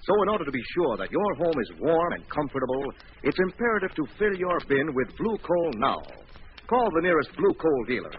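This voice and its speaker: A man's voice: on the high side, very animated, a little fast, dull audio. A man announcing in scripted 1940s radio style, formal, emphatic and declamatory. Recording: noisy, band-limited 1940s radio recording.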